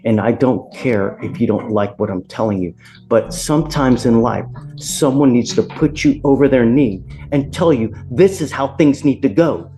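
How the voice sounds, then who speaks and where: Blunt American judge, mellow-voiced man. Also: medium pitch, moderate pace, poor audio. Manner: blunt, scolding, colloquial. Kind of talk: stern courtroom address from the bench. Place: courtroom. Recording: courtroom audio with background music.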